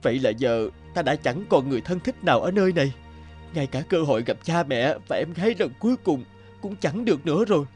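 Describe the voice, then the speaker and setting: An actor playing an earnest, polite and hesitant young scholar in an animated folktale, homesick for his village. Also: on the high side, fairly quick, faint music behind, lightly acted. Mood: shocked, panicked.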